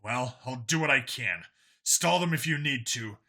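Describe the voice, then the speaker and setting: A man voicing a weary, composed alien woman in government, speaking in a measured, hesitant way for a science fiction audiobook. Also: mid-range voice, expressive, pushed and bright, full character voice. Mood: weary, worried.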